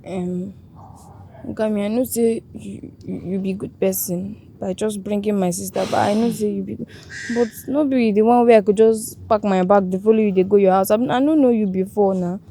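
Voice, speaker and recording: An actress playing a humble, protective elder sister in a film drama, with a Nigerian accent and Pidgin phrasing. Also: female voice, mid-range voice, fairly even intonation, moderate pace, warm tone, a little hiss or hum, close to an everyday voice. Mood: wary, polite.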